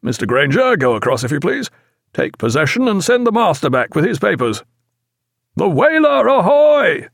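A bold sea captain acted out by a male audiobook reader barks brisk orders in a raised, loud voice. The pitch climbs well up as he hails across the water, with clipped naval authority in a dry recording. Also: big pitch swings, slightly rough, dry recording, exaggerated voice. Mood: commanding. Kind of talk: audiobook character acting.

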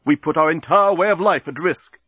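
A man's voice: a little high, fairly quick, husky, dry recording, muffled recording, voice pushed up. Man as a declamatory alien starship captain. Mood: grave, rousing. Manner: declamatory, commanding, yelling. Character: earnest, grandiose male alien starship captain.

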